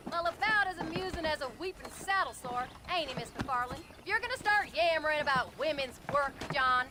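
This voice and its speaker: An actress playing a sharp-tongued, suspicious frontier wife in a video game, blunt and sardonic, with an American Western accent. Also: female voice, high-pitched, animated, brisk, noisy background.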